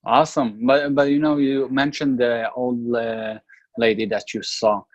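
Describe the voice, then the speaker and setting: A man's voice: medium pitch, fairly even intonation, measured pace, highs cut off. A man hosting an interview show, introducing his guest with a few hesitations.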